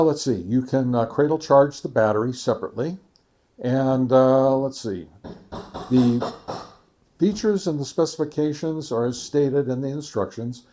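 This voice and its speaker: A man hosting a casual product test, talking in a methodical, halting way and reading out figures deliberately. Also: medium pitch, dark tone. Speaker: video host, radio reviewer.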